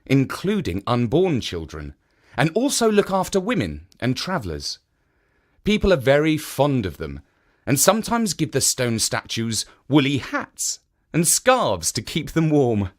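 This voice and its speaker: A man reading a children's story as scripted narration, in an expressive, measured storytelling manner. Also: mid-range voice.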